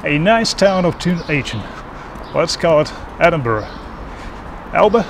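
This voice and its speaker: A man's voice: on the high side, big pitch swings, unhurried. Young man, street noise. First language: Russian. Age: young adult. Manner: wry, irreverent, playful asides. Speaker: vlogger. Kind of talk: casual educational vlog. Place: outdoors on city streets and squares. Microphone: handheld camera at arm's length.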